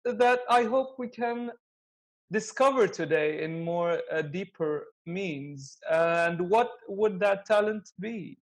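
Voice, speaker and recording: A man's voice: high-pitched, takes it slowly. Joking young man hosting an interview. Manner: friendly, joking, laughs easily. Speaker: interview host. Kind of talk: casual interview chat.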